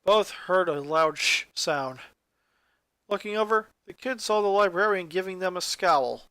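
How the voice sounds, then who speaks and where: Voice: mid-range voice, unhurried, fairly bright tone, dry recording. A narrator reads a scripted story in a mid-range voice. The sound is very dry, with next to no room around it. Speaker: story reader. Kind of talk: scripted narration of fan fiction.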